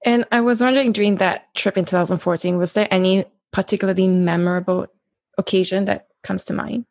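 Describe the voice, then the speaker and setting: A woman's voice: mid-range voice, fairly even intonation, a little fast, vocal fry, a little mellow, no echo. A woman interviewing a comedian and asking curious, engaged questions.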